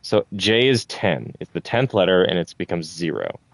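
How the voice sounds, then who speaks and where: Man, low pitch, casual gaming commentary, thinking out loud, muttering, dry recording. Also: big pitch swings, moderate pace, vocal fry, no room sound. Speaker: gamer recording a playthrough.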